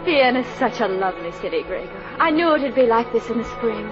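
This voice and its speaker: An actress playing a fragile, loving young village wife, tender and dependent, in emotional, theatrical 1940s radio drama style. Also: female voice, fairly high pitch, expressive, fast talker, close to an everyday voice. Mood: wistful, happy. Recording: muffled old radio recording. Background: loud dramatic music.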